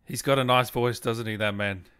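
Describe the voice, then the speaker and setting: A man's voice: mid-range voice, steady tone, moderate pace, fairly bright tone, smooth. Joking male interviewer. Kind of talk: casual interview. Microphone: video call.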